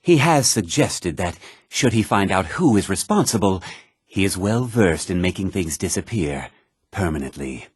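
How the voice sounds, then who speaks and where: An actor playing a learned mage of a magic college in a fantasy video game, speaking in a formal, deliberate, articulate way. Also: male voice, fairly low pitch, slightly rough, no echo, clean studio sound. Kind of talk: scripted fantasy game dialogue.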